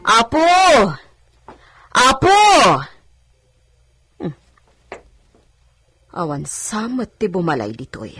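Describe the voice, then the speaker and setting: A woman's voice: medium pitch, huge pitch swings, drawn-out words, pauses often, pushed and bright, soft background music, full character voice. A woman playing an anxious, persistent older village servant in a radio drama, earnest and coaxing. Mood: anxious, urging.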